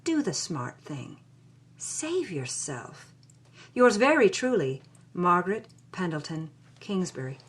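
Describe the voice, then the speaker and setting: A haughty, vindictive older matriarch, played by a woman, speaks in a low, husky voice that swoops with menace. It is a cold, emphatic radio drama monologue. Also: sing-song, slow, full character voice. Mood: gloating, imperious. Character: cold, vindictive older wealthy grandmother reading her threatening letter.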